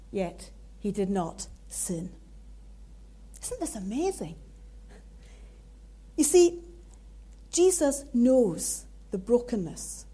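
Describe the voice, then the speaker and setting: Scottish woman preacher, fairly high, mellow voice, unhurried sermon delivery.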